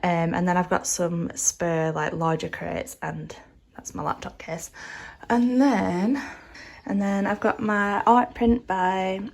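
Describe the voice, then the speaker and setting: A woman's voice: fairly low pitch, steady tone, slow pace, creaky. A woman, nasal and stuffed up from a cold, chatting casually as she tours her small home art studio, rambling with plenty of filler words. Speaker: artist vlogger. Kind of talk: casual studio tour vlog.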